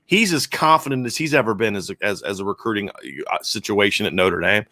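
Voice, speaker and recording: A man with a sore, hoarse throat co-hosting a live football podcast, chatting through viewer questions and often restarting his sentences as he thinks aloud. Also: expressive, brisk, pushed and bright, dry recording. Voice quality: deep voice. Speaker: podcast co-host and football analyst. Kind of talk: casual live-stream chat answering viewer questions.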